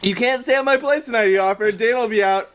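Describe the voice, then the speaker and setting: A man's voice: very high voice, quick pace, a bit bright, slight background noise. A man reading fan fiction aloud on a group livestream, breaking into banter and exclamations while his mouth burns from hot sauce. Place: group livestream with others reacting.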